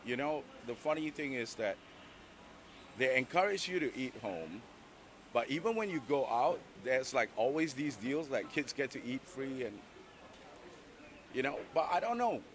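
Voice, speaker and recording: A man's voice: mid-range voice, very animated, moderate pace, a little hiss or hum. A man rambling through street interview answers in a conversational way. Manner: rambling, conversational, many fillers.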